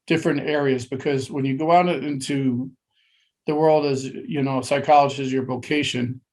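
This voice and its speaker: A man presenting a webinar, talking informally and haltingly with many pauses. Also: medium pitch, fairly even intonation, darkish tone. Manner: halting, many fillers and restarts.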